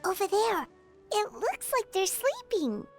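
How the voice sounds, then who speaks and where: A woman voicing a tiny, chirpy, childlike fairy companion in a video game, curious, excitable and expressive. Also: very high-pitched, slow pace, full character voice. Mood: curious, puzzled. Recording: music behind the voice.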